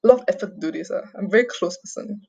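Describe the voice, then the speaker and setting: A young adult woman from Singapore who grew up overseas, giving an unscripted personal confession in a halting, repetitive, sweary way, sounding agitated and distressed. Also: mid-range voice, creaky, mellow, slightly rough. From Singapore, raised mostly overseas. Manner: halting, repetitive, sweary, often tags phrases with "okay?". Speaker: vlogger giving a personal account. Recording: dull lo-fi home recording.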